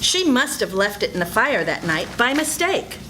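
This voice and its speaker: A woman's voice: a little high, very animated, moderate pace, background noise. An older woman playing a nervous, suspicious friend in an amateur old-time radio melodrama, speaking urgently and fearfully.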